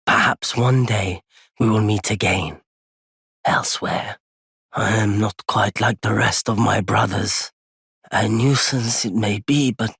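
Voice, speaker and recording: An actor playing a wary, proud nomadic merchant in a video game, with a husky, gravelly voice and a weighty, theatrical delivery. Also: male voice, on the low side, a bit bright, no room sound, exaggerated voice. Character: wary, courteous nomadic male merchant turned bitter and vengeful. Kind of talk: scripted game character lines.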